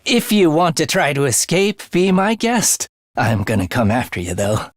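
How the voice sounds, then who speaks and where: A man's voice: on the high side, sing-song, moderate pace, dry recording, studio quality. An actor playing a playful, morbid young male assassin in a video game, with breezy, teasing speech that turns theatrical. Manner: breezy, teasing slang turning breathy and theatrical. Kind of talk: English video game voice acting.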